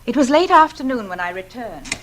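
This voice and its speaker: A woman playing an anguished, refined English wife in 1940s radio drama style, speaking earnestly and haltingly. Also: on the high side, very animated, slight background noise. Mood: wistful, troubled. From England. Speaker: lead actress. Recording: old radio recording with music.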